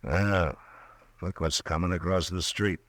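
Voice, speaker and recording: An actor playing a calm, stern frontier marshal in a 1950s radio drama, speaking steadily and firmly. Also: male voice, low-pitched, unhurried. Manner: steady, level-headed, firm.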